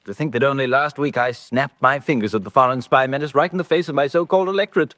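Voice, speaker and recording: An actor as a plummy, bumbling squire. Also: male voice, medium pitch, quick pace, fairly bright tone, no room sound, close to an everyday voice. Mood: amused. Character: jovial, flippant upper-class British politician, bumbling squire. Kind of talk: old-fashioned radio drama acting.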